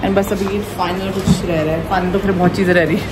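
A woman's voice: lowish voice, steady tone, quick pace. A woman, chatting casually for a vlog and mixing in English phrases. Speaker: vlogger and salon owner. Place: indoor salon rooms being set up. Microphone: camera held at arm's length. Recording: loud room noise, handheld camera audio. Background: loud ambient room noise.

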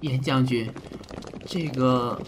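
A man's voice: mid-range voice, fairly even intonation, takes it slowly, background noise, natural voice. A man playing an earnest, polite army physician in an ancient Chinese audio drama, with a hesitant, courteous way of talking. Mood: sheepish, hesitant.

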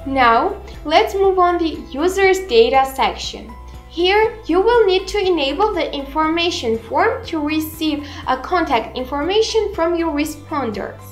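High-voiced young woman presenting a tutorial. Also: expressive, a little slow. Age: 20s. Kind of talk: scripted software tutorial narration. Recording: roomy echo, music underneath. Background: background music.

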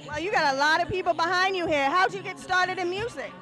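A woman reporter with a handheld mic, hosting upbeat interviews in a dark nightclub. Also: very high voice, fast. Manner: upbeat and bubbly. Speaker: host reporter. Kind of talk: on-the-spot party interviews. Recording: music playing behind.

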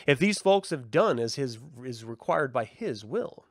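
Man giving an expressive, emphatic essay reading. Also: mid-range voice, unhurried, no room sound, clean studio sound. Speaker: host reading essays aloud to a live audience.

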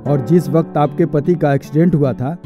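Man doing serious cartoon doctor, mellow voice, measured, music behind. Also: a little high, steady tone, natural voice. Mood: grave. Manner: solemn, measured. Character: grave, philosophical hospital doctor.

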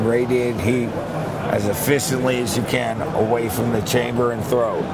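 A man's voice: medium pitch, creaky, very noisy. A middle-aged man representing a company, demonstrating products at a trade show booth in a clipped, matter-of-fact way. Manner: matter-of-fact, clipped spec-list delivery.

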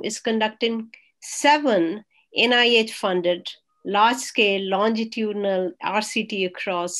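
A woman's voice: mid-range voice, fairly bright tone. Middle-aged female professor moderating, emphatic formal intro, video call audio. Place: room at home on a video call.

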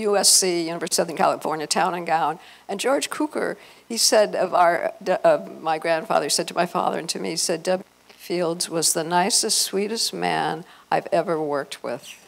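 A woman's voice: fairly low pitch, creaky. An older woman with an American accent giving an informal, effusive talk to an audience on a handheld microphone in a conference room. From Los Angeles, United States. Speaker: event host and speaker. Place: hotel conference room, indoors. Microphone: handheld microphone through PA.

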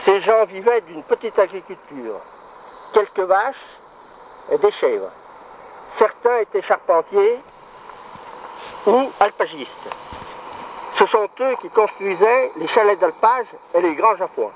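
A man's voice: high voice, expressive, slow, long pauses. A man recorded as a local storyteller, telling old village history in a plain voice with small restarts. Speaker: recorded local storyteller at a trail audio post. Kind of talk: recorded guided commentary. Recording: dull lo-fi playback with faint hiss.

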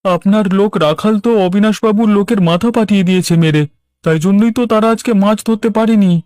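A man's voice: sits high, fast talker, darkish tone, clear, resonant tone, dry recording, studio quality, lightly acted. A man playing an aggrieved village fish seller in a cartoon, earnestly protesting and standing his ground. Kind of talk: Bengali cartoon dub.

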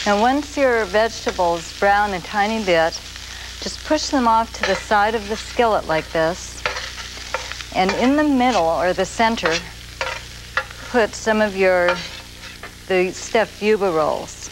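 A woman in her forties hosting a TV cooking show, explaining a recipe in a slow, gentle, soft-spoken way from a studio kitchen set. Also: medium pitch, animated. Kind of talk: TV cooking demonstration. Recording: grainy 1980s videotape with noise.

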